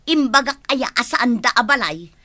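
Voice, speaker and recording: A woman's voice: on the high side, lively intonation, normal speed, bright, forceful tone, no echo. A woman playing an aggrieved, defensive village woman in a radio drama, with an Ilocano accent. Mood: irritated, worried.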